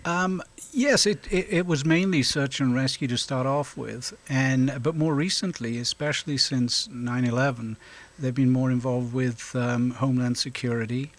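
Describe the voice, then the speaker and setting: A middle-aged man from South Wales, answering interview questions in a halting way with frequent hesitations and an occasional chuckle. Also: medium pitch. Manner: halting, lots of hesitations, chuckles now and then. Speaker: guest, volunteer officer.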